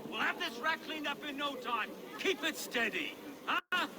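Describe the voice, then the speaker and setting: A man's voice: extremely high pitch, animated, moderate pace, background noise. A man playing a patient, courteous medieval townsman in a game, folksy and polite as he keeps order. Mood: reassuring, helpful. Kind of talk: dramatic game acting.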